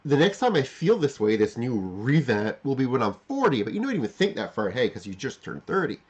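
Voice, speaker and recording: Middle-aged man with animated, swinging pitch. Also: breathy edge, lo-fi sound. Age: 40s. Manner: halting, repeats and rephrases phrases, emphatic. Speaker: livestream host. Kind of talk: unscripted reflective monologue to live chat.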